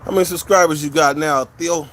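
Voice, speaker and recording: A man's voice: a little high, steady tone, fairly quick, fairly bright tone, a little hiss or hum. A man from California, hosting a livestream and chatting casually with viewers in blunt, profane street slang, rambling from one story to the next. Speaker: livestream host.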